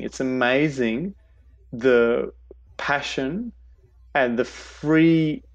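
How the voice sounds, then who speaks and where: A man being interviewed on a podcast, talking enthusiastically and restarting words as he goes. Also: mid-range voice. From Australia. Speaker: guest, innovation expert. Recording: dull lo-fi sound.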